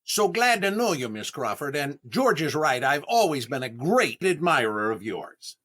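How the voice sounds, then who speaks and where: An actor playing a vain, eager, easily flustered comedian in 1930s radio comedy style, with drawn-out exclamations and stammering. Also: male voice, a little high, very animated, a bit bright. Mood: smitten, touchy.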